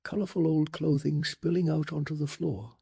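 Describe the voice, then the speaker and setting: A man narrating a bedtime story in a soft-spoken ASMR style, calm, hushed and deliberate. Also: on the high side, creaky, slightly rough.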